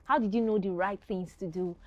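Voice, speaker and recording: A woman's voice: mid-range voice, steady tone, a little fast, a little mellow, dry recording, muffled recording. A woman interviewing a guest, asking questions in a conversational style.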